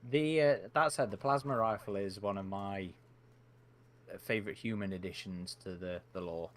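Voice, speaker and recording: Low-voiced man musing over a game. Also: moderate pace. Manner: thoughtful, hesitant, with frequent fillers. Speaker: stream co-host. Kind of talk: casual gaming chat.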